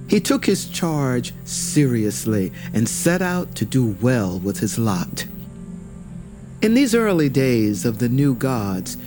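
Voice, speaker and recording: Male narrator for mythic audio fiction: unhurried, solemn, very varied intonation. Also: medium pitch. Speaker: storyteller, narrator. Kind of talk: scripted mythic storytelling narration. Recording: music behind the voice. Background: music.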